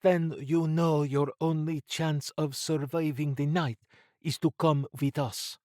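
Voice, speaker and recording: A man voicing a commanding woman secret agent in an audiobook, clipped, forceful and wry. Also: on the high side, steady tone, takes it slowly, no room sound, clean studio sound, voice pushed up. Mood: urgent, blunt.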